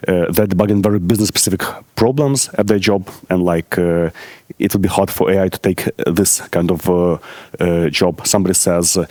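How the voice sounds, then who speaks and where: Very low-voiced man giving a conference talk. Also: a little mellow, no room sound. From Ukraine. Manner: halting, many filler pauses, friendly asides to audience. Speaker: conference speaker. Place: conference hall stage.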